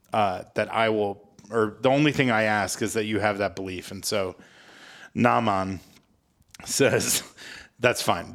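Male podcast co-host, lowish voice, loose and jokey scripture recap, happy to poke fun at himself. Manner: jokey, self-mocking, informal phrasing. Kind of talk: casual scripture recap chat.

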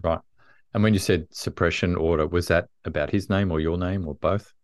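A man's voice: sits low, fairly even intonation, no echo, studio quality. A man hosting an interview, asking curious questions that often start over. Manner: curious questions with halting restarts. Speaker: podcast host. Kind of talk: interview conversation.

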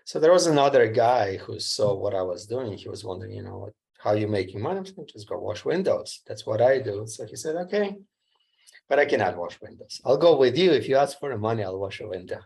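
A man's voice: fairly low pitch, animated, brisk, gravelly, darkish tone, breathy edge. A middle-aged man from Ukraine with a Ukrainian accent, telling his story as a guest in a plainspoken, slightly broken English. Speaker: guest entrepreneur. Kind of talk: casual interview storytelling.

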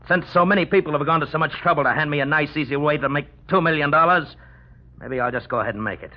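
An actor playing a sneering gangster with a gun. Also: male voice, a little high, fast, close to an everyday voice. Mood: menacing. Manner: threatening, sneering. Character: menacing male gangster husband with a gun. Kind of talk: 1940s radio drama acting. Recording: muffled old radio broadcast.

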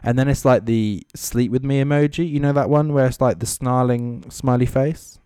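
A man's voice: mid-range voice, steady tone, moderate pace, warm tone, smooth, no room sound, studio quality. A man giving casual, off-the-cuff comedic commentary as a host, with sarcastic, mocking asides. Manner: sweary. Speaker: comedy host reading social media comments.

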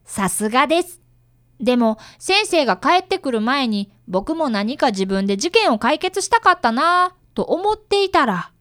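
A polite young boy detective, acted by a woman, high and very animated, recorded close and dry. He explains things earnestly in a youthful radio-drama manner. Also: moderate pace, no echo. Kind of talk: radio drama acting with first-person narration.

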